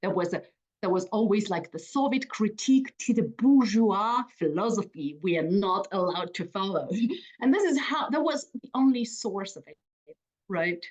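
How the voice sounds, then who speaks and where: A Ukrainian woman in her forties, a native Russian speaker, giving a conference talk in a reflective way, with restarts and soft laughs. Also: medium pitch, animated, normal speed, rough recording. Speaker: museum speaker.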